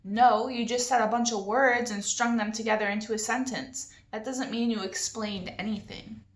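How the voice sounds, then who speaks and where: A woman's voice: on the high side, fairly even intonation, normal speed, roomy sound, close to an everyday voice. A woman voicing a scornful, sarcastic boy from a children's novel, blunt and griping. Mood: exasperated. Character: scornful, sarcastic boy, narrator's best friend.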